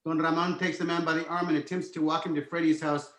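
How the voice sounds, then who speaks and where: Higher-voiced man narrating in an echoey room. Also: level tone, quick pace, fairly bright tone. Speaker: stage directions narrator. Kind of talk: scripted narration, screenplay table read.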